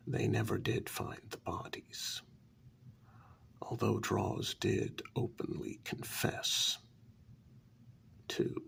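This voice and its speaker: A man playing a grave, troubled asylum staffer in a soft-spoken ASMR role-play, telling a dark story in slow, halting phrases with a breathy, gravelly half-whisper. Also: fairly low pitch, slight background noise, full character voice. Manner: slow, halting, deliberate short phrases with long pauses.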